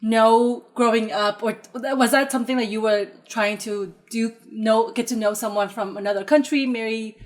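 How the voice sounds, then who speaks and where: A woman's voice: fairly high pitch, fairly even intonation, normal speed, few pauses. A woman co-hosting a podcast, asking friendly, upbeat questions and laughing often. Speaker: podcast co-host. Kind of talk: casual interview chat.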